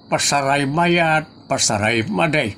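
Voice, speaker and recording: A man with an Ilocano accent acting a grumbling, excitable older husband and father in a comedy audio drama. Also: on the high side, takes it slowly, slight background noise. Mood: flustered. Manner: exclamatory, complaining.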